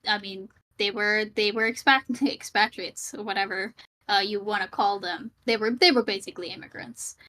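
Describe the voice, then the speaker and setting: A woman's voice: mid-range voice, moderate pace, bright, forceful tone. A woman from Sri Lanka, a streamer, talking over a voice call in a casual debate, hesitant, with many fillers and restarts.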